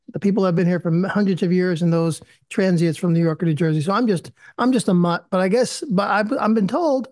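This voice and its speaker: A man's voice: high-pitched, darkish tone, no echo, clean studio sound. A man hosting a live-streamed prayer series, leading a prayer and then chatting in a friendly, rambling, self-deprecating way. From US Northeast. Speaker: host. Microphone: video call.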